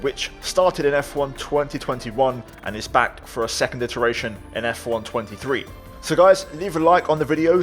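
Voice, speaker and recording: A man provides casual commentary as he plays a video game. His voice sits at an ordinary pitch and he keeps an upbeat flow, narrating his own play for viewers. Faint music plays behind him. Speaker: gaming video creator.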